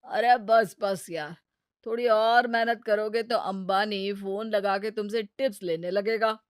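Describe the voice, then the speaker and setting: A woman's voice: mid-range voice, fairly even intonation, measured pace, clear, resonant tone, dry recording, studio quality, close to an everyday voice. A woman voicing a cocky, irresponsible gambler husband in a cartoon story, boastful and wheedling in manner. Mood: teasing.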